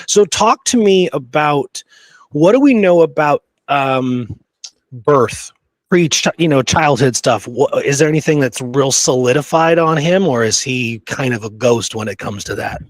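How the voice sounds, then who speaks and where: A man's voice: medium pitch, animated, measured pace, no echo. A man co-hosting a podcast, chatting about history in an informal, conversational way. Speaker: podcast co-host. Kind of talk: casual, conversational history chat.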